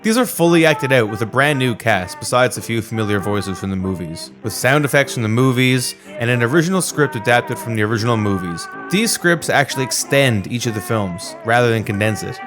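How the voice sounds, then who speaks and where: A man's voice: medium pitch, lively intonation, moderate pace, hardly any pauses. Narrating man with music behind. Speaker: video essayist narrator. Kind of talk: scripted narration.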